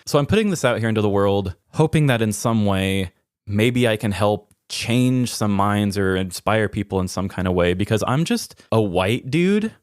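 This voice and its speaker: A man's voice: fairly low pitch, lively intonation, normal speed, dry recording, clean studio sound. A man hosting a podcast, speaking candidly and earnestly about personal matters in a self-deprecating way. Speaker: podcast host. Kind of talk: candid personal talk, then reading a personal essay.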